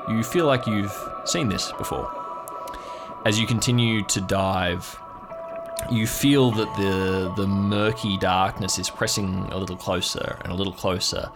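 A man's voice: low-pitched, steady tone, unhurried. A man improvising descriptive narration as game master of an audio drama, setting scenes in the second person. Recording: music playing behind the voice. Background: music.

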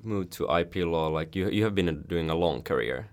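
A man hosts a podcast interview in a very low voice. His questions wander, and he strings them together with fillers and repeated words before he settles on what he wants to ask. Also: expressive, moderate pace. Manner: loose, filler-heavy questions. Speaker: podcast host. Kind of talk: casual interview chat.

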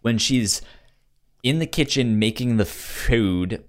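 A man co-hosting a film podcast in casual conversation, halting and often stammering over repeated words. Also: medium pitch, animated. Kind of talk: casual movie discussion.

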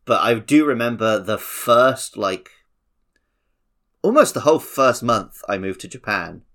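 A man's voice: mid-range voice, expressive, measured pace, fairly bright tone. A man with an English accent co-hosting a podcast, telling stories in a casual, conversational way. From English countryside. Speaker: podcast co-host.

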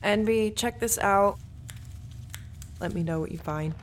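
An actress playing a gentle, curious woman who tends plants in a sci-fi audio drama. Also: medium pitch, unhurried, a little hiss or hum, close to an everyday voice. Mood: tender, curious. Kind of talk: naturalistic audio drama acting.

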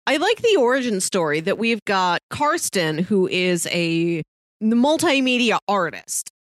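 A woman's voice: mid-range voice, very animated, unhurried, no room sound, studio quality. A woman co-hosting a podcast, talking through a review in casual conversation. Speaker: podcast co-host.

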